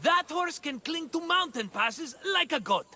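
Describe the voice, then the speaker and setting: A man's voice: very high voice, expressive, a little slow, sharp tone, exaggerated voice. An actor playing a jovial, scheming merchant in a video game cutscene, with a theatrical, wheedling salesman's manner. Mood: flattering salesman. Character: jovial, scheming male merchant and hapless vineyard owner.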